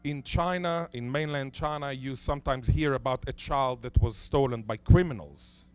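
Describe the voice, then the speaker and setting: A man whose first language is Hebrew, giving a conference talk in a lecture hall, posing rhetorical questions and stressing key words deliberately. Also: mid-range voice, clear, resonant tone. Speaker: conference speaker.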